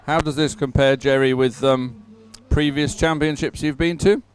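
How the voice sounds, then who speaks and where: A man's voice: medium pitch, fairly even intonation, normal speed. A man hosting live sports coverage, chatting casually and commentating with a lightly joking manner. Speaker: host and commentator.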